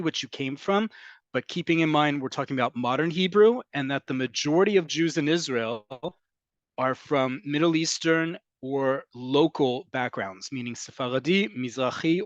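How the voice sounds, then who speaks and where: A man's voice: medium pitch, no room sound, lo-fi sound. A man from North America teaching an online class over a video call, explaining at length in a conversational way with frequent ums. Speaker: Hebrew teacher.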